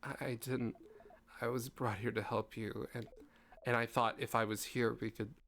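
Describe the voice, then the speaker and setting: A man voicing a guarded, star-like male guide who hesitates and stammers, speaking lower and softer. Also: flat delivery, moderate pace, slight background noise, close to an everyday voice. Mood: apologetic. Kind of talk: fan voice acting of game dialogue.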